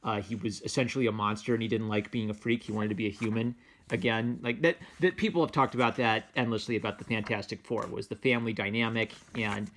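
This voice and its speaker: A man's voice: on the low side, steady tone, fast talker, a bit bright, a little hiss or hum. A man giving casual, unscripted commentary as a comic book reviewer, enthusiastic and rambling, often circling back on his phrases.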